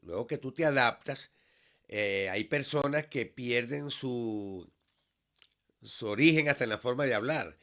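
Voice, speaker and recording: A Venezuelan man in his fifties, a teacher, speaking in a measured, earnest monologue that has frequent restarts. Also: medium pitch, sharp tone. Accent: Venezuelan Spanish. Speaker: vlog host, high school teacher. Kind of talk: reflective solo monologue.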